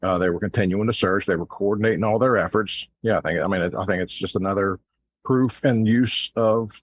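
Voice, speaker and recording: A man's voice: on the low side, a little fast, slightly rough, dry recording. A man co-hosting, giving casual, analytical commentary in conversational sentences. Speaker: podcast co-host.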